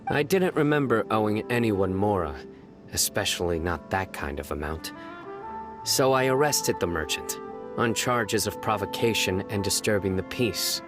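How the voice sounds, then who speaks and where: Solemn game enforcer played by a man: low, deadpan, measured and dutiful, with music behind him. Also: natural voice. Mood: deadpan suspicion. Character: stern, solemn young male desert lawman, dutiful. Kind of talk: anime game voice acting.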